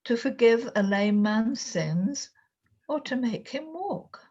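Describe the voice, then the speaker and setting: A calm, kindly storybook healer read by a woman, gentle and sure of himself. The words come slowly in a soft-edged, husky voice. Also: on the high side, highs cut off.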